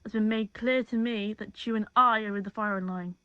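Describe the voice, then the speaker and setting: A woman's voice: mid-range voice, fairly even intonation, brisk, clear, resonant tone, dry recording, muffled recording, lightly acted. A woman playing a grim, wary police chief in a dystopian audio drama.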